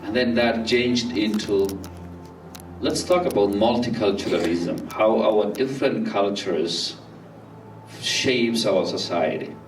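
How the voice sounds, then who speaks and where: A man from Somalia giving a public talk in a slow, halting way, with many fillers and restarts. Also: fairly low pitch, distant mic. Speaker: speaker at a community talk. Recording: roomy, off-mic, faint music behind.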